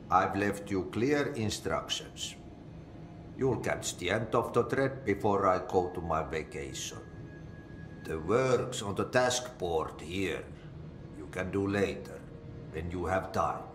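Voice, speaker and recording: An actor playing an eccentric older Finnish janitor in a video game, with folksy, cryptic talk and a Finnish accent. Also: male voice, low voice, slow, echoey room, faint music behind. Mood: breezy, reassuring. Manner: folksy idioms, muttered Finnish curses. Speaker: janitor character in a supernatural action game. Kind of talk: video game cutscene acting.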